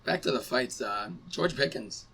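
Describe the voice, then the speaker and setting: A young man co-hosting a casual sports podcast, hoarse from losing his voice, getting excited and rising in pitch when he argues. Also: normal speed. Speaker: podcast co-host. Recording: distant mic with faint background hiss.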